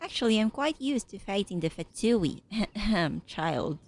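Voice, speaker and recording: Unhurried woman reacting while gaming. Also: medium pitch, lively intonation. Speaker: gamer. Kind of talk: casual gameplay reactions.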